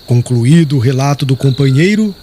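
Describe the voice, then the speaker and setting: A man's voice: medium pitch, lively intonation, moderate pace, noisy background. A man narrating a literary audiobook in a solemn, dramatic storytelling style.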